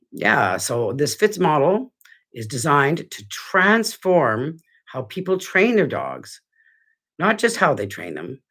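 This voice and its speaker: Middle-aged male dog trainer; husky, congested; rambling, sincere home video host. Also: mid-range voice, sharp tone. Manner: earnest, rambling with restarts. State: stuffy, congested. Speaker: dog trainer hosting a weekly show. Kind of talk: casual talk to camera. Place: living room at home.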